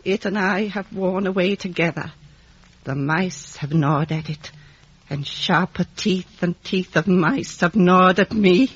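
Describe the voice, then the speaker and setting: A woman playing a bitter, decayed old recluse in a 1950s radio drama, brooding and theatrical with a husky voice. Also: lowish voice, unhurried, dry recording. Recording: muffled vintage radio recording.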